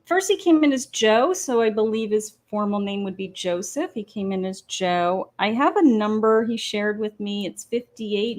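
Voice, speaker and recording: A woman hosting a live psychic reading from her patio, talking slowly with many pauses and fillers as she thinks aloud. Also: mid-range voice. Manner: slow, hesitant, many ums, thinking aloud. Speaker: psychic medium host. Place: home patio.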